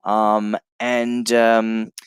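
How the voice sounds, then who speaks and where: A man's voice: fairly low pitch, smooth, dry recording. Chill guy teaching english on a stream, reads comments out and chats, lots of ums, dry close mic. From Brazil and California, United States. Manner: relaxed and chatty, many fillers, restarts and pauses. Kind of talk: live-stream English lesson reading viewer comments.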